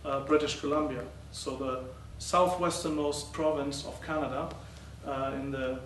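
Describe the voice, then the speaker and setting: A middle-aged man giving a conference talk as a professor presenting research, speaking haltingly with many uh and um fillers. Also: a little high, fairly even intonation, a bit bright. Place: lecture hall. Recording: roomy, distant, faint noise.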